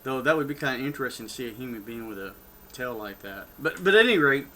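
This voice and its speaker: Older texan guy going off on a rant, keeps restarting, bright punchy voice. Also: medium pitch, lively intonation, fast talker. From Texas. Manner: rambling and heated, with many restarts and asides. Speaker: political vlogger. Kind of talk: off-the-cuff rant.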